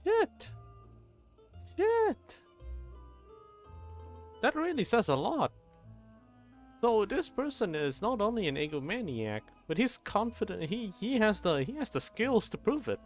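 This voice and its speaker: A male game streamer with a fairly high, lively voice gives casual live reactions. He thinks aloud in halting bursts, with faint music behind him.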